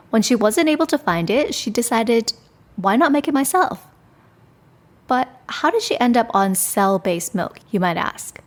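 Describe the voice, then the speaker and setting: Upbeat woman podcast host. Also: medium pitch. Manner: upbeat scripted intro, then conversational questions. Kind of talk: podcast interview.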